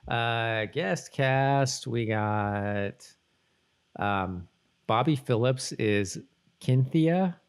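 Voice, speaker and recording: Guy podcast host, kinda low voice, rambly and halting, keeps correcting himself, just chatting. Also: clear, resonant tone. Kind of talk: casual podcast chat.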